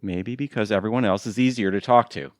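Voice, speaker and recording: A man's voice: on the low side, fairly quick, dry recording, studio quality, natural voice. A man acting in an audio drama as a wounded, sarcastic middle-aged husband who feels pushed around. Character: aggrieved, sarcastic middle-aged husband, former Vegas performer.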